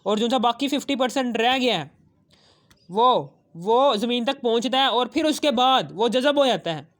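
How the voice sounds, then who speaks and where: Guy teaching science, pretty high voice, repeats stuff, keeps asking then answering. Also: animated, moderate pace, fairly bright tone. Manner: repetitive, asks questions and answers them, adds check-in tags. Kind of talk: explanatory school lesson, reading English textbook phrases and explaining them.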